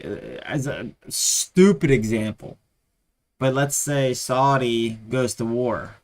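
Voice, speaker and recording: A tired man losing his voice, rambling with many fillers as he chats casually on a live trading stream. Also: medium pitch.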